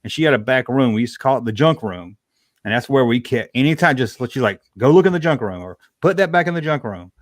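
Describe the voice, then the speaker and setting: A man's voice: mid-range voice, lively intonation, fast talker, no room sound, studio quality. Middle-aged man with a Southern US accent. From Georgia, US South. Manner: folksy, colloquial. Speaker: livestream guest, toy collector. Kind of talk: casual chat, answering viewer questions.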